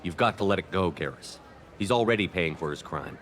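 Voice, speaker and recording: Deep, steady military commander. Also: male voice, a little fast. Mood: persuasive. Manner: steady, controlled, reasoning. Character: calm, firm human military commander, persuasive and loyal. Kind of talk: video game dialogue acting.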